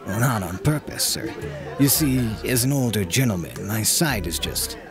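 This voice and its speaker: A man playing a mild, polite older gentleman sorcerer in an audiobook, apologetic and courteous. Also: on the low side, expressive, moderate pace. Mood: placating. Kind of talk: audiobook character dialogue. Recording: music and tavern crowd behind.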